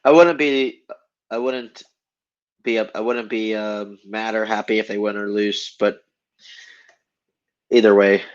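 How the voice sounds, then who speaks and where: A man's voice: on the low side, fairly even intonation. Easygoing man on a dull, muffled line. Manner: easygoing, laughs along, halting restarts. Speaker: podcast co-host. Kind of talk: casual sports podcast chat.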